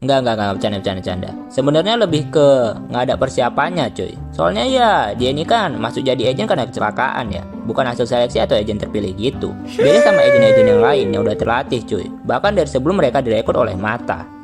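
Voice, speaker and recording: A man's voice: medium pitch, wildly swooping pitch, fast. A young man with an Indonesian accent giving casual, slangy comedic commentary, joking and swearing freely. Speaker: video commentator. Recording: music behind the voice.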